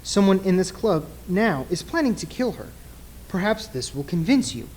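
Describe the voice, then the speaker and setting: A man playing an earnest, grave nightclub mentalist in an old-time radio play, speaking formally and deliberately in a husky voice. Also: high-pitched, big pitch swings, a little mellow. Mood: grave, insistent.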